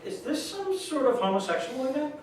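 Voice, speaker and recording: An excitable, naive dad, acted by a woman in a staged play reading, gushing in a high, swooping voice. It is picked up from far off in a very echoey room. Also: fairly quick. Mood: puzzled, accepting. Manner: exclamatory, gushing. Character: excitable, naive, starstruck dad at a street fair. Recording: echoey room, distant mic, noisy.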